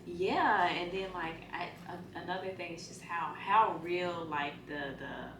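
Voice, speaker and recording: A woman's voice: lowish voice, a little slow, background noise. A woman in her late twenties talking frankly in a casual chat, slangy and laid-back. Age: 20s. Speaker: guest. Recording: roomy sound from a distance with music.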